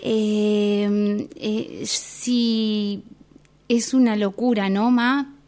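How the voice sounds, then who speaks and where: Very slow, timid woman acting a grown daughter. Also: medium pitch, level tone, a little mellow. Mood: nervous, hesitant. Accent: Argentine. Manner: very halting, tentative. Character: timid, apologetic thirty-eight-year-old daughter still at home. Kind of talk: improvised radio theatre workshop exercise.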